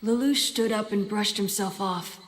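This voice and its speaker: A woman's voice: medium pitch, a little slow, slight background noise, natural voice. A woman voicing an indignant, hot-tempered young boy who acts and narrates his memories in an audio drama. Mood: reflective narration. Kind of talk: audio drama acting with first-person narration. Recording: long reverb, music behind the voice. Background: background music.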